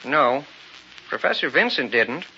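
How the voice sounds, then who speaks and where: Gossipy, cautioning desk clerk, sharp and animated; radio drama, muffled with faint noise. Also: male voice, medium pitch, a little slow, heavily acted. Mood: puzzled. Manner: hesitant, folksy, cautioning. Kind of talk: old-time radio drama acting. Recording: muffled, faintly noisy vintage radio recording.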